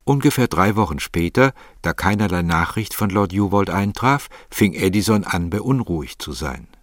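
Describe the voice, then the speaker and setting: A man's voice: low-pitched, expressive, moderate pace, no echo, studio quality. A man narrating a literary story as scripted narration.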